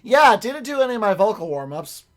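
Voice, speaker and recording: A young man chatting loosely on his stream, his voice worn raspy and a little high. He rambles, backs up and restarts his sentences, and drops a swear now and then. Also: very animated, brisk. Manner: rambling, self-correcting, swears casually. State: raspy, strained voice. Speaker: streamer and voice actor. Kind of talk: casual stream chat.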